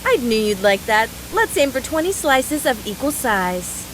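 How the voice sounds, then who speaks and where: A woman's voice: fairly high pitch, sing-song, measured pace, a little hiss or hum, poor audio. A woman voice-acting a brash, mischievous young woman fighter from a video game, teasing in a playful, folksy, mock-serious way.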